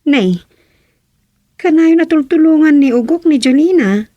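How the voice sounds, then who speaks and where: A young wife in an Ilocano radio comedy drama. She has a high, closely recorded voice and a playful, coaxing way of questioning. Her accent is Filipino Ilocano. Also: expressive, unhurried, mellow, no echo, muffled recording. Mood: teasing.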